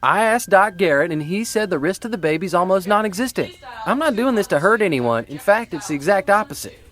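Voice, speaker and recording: Man acting an earnest, animated romantic lead. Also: sits high, brisk. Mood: defensive. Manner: earnest, emotive. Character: earnest, romantic male lover, eager and defensive. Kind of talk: soap-opera audio drama acting. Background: faint music.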